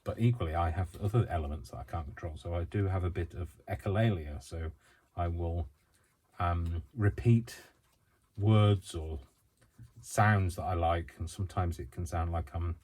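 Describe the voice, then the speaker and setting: A British man from the UK chatting through a crafting tutorial at home, rambling, self-deprecating and quick to giggle. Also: low voice, darkish tone, slightly rough. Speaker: craft vlogger.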